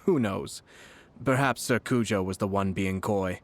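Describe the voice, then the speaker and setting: An actor voicing a composed, courteous nobleman official in a video game, formal, measured and subtly sly. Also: lowish voice. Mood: tactful, sly. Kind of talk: video game voice acting, scripted dialogue.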